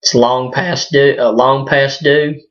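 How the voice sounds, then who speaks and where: A hoarse man still recovering from a sinus illness, talking through a casual personal vlog with lots of fillers and restarts. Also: mid-range voice, fairly even intonation, fairly bright tone. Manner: halting, rambling, many fillers and restarts. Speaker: vlogger. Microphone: built-in device mic, no external microphone. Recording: dull lo-fi built-in mic.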